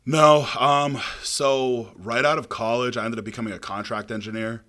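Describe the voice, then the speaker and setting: Young man, deep voice, bright forceful tone, casual podcast guest, rambling with fillers. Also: moderate pace. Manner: relaxed storytelling with many fillers.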